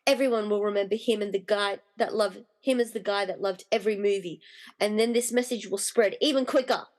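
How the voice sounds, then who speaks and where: A woman's voice: mid-range voice, brisk, a little mellow, close to an everyday voice. A woman playing a determined, defiant wife in a radio play, indignant and blunt as she challenges a villain. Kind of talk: hobby podcast radio play acting.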